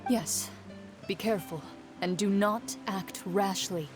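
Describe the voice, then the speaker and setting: An actress playing a composed, reserved young woman duelist in a video game, speaking in a measured, formal way. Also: mid-range voice, close to an everyday voice. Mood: cool, formal. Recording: game audio with music behind.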